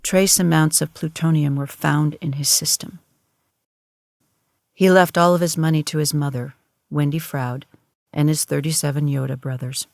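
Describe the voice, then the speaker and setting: A woman narrating an audio drama in a solemn, measured storytelling manner. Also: low-pitched, steady tone, gravelly, darkish tone, no room sound, studio quality.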